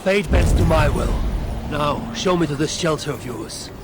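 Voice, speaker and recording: A man's voice: fairly high pitch, lively intonation, normal speed, natural voice. A man playing a proud, wrathful Norse god warrior in a fantasy game, defiant and commanding. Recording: noisy ambience with water sounds.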